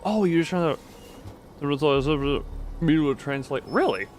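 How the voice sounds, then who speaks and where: A man livestreaming a game, chatting casually in a halting, rambling way as he thinks aloud. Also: on the high side, expressive. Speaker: livestream host. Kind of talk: casual chat while playing a horror game live. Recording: clear background noise.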